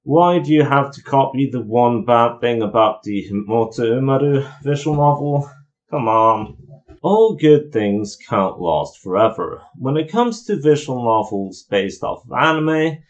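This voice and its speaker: A man's voice: mid-range voice, lively intonation, measured pace, muffled recording. A man giving an opinionated, explanatory video review in an informal commentary style.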